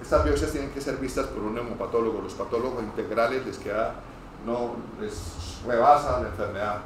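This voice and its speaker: A man from Cúcuta with a northeastern Colombian accent, giving an informal medical lecture as a pulmonologist, hurried and digressive with stammered false starts. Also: medium pitch. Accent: northeastern Colombian Spanish. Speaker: pulmonologist teaching medical trainees. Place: echoey room, speaking to a group. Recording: very echoey room, distant mic, noisy.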